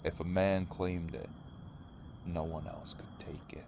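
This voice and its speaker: A man in an audio drama playing a grim, wary leader of a survivor group, speaking in a measured, intense manner. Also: sits low, steady tone, creaky, darkish tone, slight background noise. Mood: grim, confiding.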